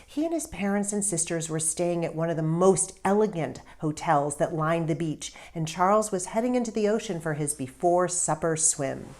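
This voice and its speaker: Woman, lowish voice, expressive storytelling narration, deliberate phrasing, emphatic stresses, author reading aloud.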